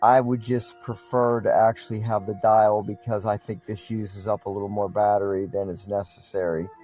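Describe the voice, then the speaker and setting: A man's voice: lowish voice, flat delivery, normal speed, dark tone, soft background music, low-quality recording. A man giving a casual, unscripted product review in a matter-of-fact, plain-spoken way.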